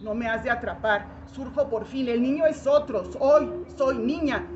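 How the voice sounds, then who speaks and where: A woman's voice: on the high side, normal speed. A woman performing a dramatic poetic monologue in short fragmented phrases, with intense, incantatory theatrical delivery. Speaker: poetry performer. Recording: dull lo-fi recording with loud noise.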